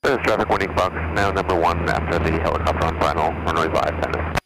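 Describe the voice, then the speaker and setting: A man's voice: very deep voice, level tone, fast talker, fairly bright tone, poor audio. A man making clipped aviation calls over an aircraft radio. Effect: aircraft radio transmission. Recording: aircraft radio with background hum.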